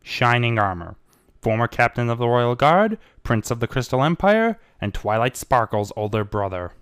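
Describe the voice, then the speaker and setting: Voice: medium pitch, very animated, moderate pace, clear, resonant tone, no room sound, studio quality. An amateur narrator giving a dramatic storybook reading of fan fiction.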